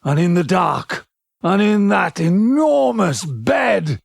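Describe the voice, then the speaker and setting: A man playing an amorous, poetry-loving British lover in an audio drama, measured, romantic and wry. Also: high-pitched, very animated, gravelly, exaggerated voice. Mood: wistful, impassioned.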